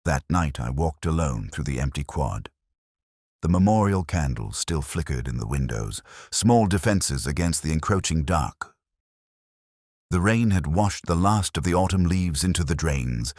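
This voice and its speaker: Really deep male narrator, brooding world-weary inspector telling a mystery, solemn but pitch moves around a lot. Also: expressive, a little mellow, dry recording, studio quality. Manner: measured, literary, solemn delivery. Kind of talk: first-person scripted detective story narration.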